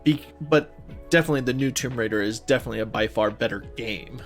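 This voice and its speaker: A man's voice: mid-range voice, fast talker, soft background music. A man co-hosting a podcast, chatting casually and informally about films and games with gushing opinions. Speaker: podcast co-host.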